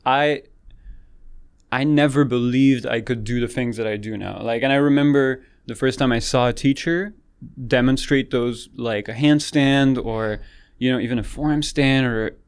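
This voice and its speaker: A man in his late twenties from France with a light French accent, a yoga teacher talking calmly and softly in a casual interview. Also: medium pitch, moderate pace, smooth. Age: late 20s. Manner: calm, soft-spoken, frequent restarts and laughs.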